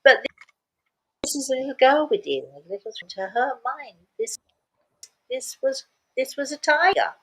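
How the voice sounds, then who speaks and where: A woman's voice: high voice, big pitch swings, normal speed, long pauses, a bit bright, low-quality recording. An older woman speaking as a spiritual medium, telling stories casually and repeating words for emphasis. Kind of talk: casual storytelling talk.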